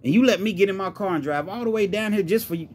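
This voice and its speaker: A man's voice: medium pitch, lively intonation, fast, a little mellow, slightly rough. A man playing a hot-tempered, wounded man who got catfished, protesting in repetitive, indignant street talk. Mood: hurt, angry.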